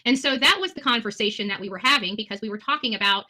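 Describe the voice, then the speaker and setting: A woman's voice: fairly high pitch, fast talker, sharp tone. An American woman answering questions as a guest on a live stream, blunt, combative and given to swearing in unscripted talk. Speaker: talk show host.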